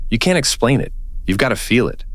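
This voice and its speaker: A man's voice: mid-range voice, sing-song, fairly bright tone, no echo. A man playing an earnest, plainspoken small-town local in an audio drama, telling his story in a folksy, reflective way. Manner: earnest, folksy, deliberate phrasing.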